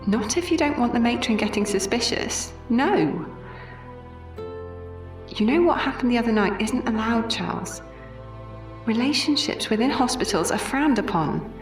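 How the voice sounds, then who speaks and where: An actress playing a young, sardonic Victorian nurse with a wry, chatty manner in an audio drama. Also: female voice, fairly high pitch, lively intonation, over music, close to an everyday voice. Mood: exasperated. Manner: wry, conversational, quick to grumble.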